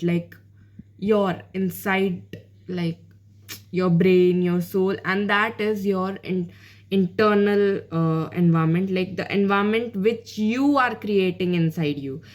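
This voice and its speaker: A woman's voice: mid-range voice, unhurried, darkish tone. A woman with an Indian accent whose first language is Hindi, giving casual spoken learning tips as a channel host in a rambling way full of fillers, slipping between English and Hindi. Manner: rambling, with many fillers and restarts.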